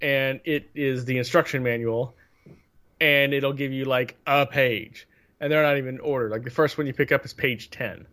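A man's voice: mid-range voice, fairly even intonation, normal speed, a bit bright. A man co-hosting a casual podcast chat, talking in an emphatic, exasperated way. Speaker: podcast co-host.

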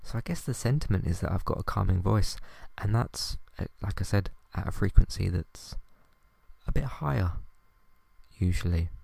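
A young man hosting a podcast, talking softly and hesitantly with many ums as he tries out ASMR for the first time. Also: deep voice, expressive, normal speed, vocal fry, slightly rough, dry recording. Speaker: podcast host. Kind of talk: first attempt at ASMR, quiet podcast chat.

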